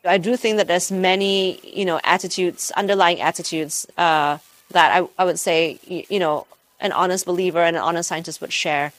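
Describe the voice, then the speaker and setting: A woman's voice: lowish voice, steady tone, moderate pace, dry recording. A woman from Malaysia, a physics professor, answering interview questions thoughtfully, often restarting her sentences. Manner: thoughtful, many restarts and fillers.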